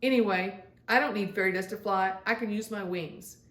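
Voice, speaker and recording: A woman's voice: mid-range voice, moderate pace, a bit bright, recorded from a distance, lightly acted. Woman doing a stubborn lil storybook kid, childlike n insistent, roomy echo.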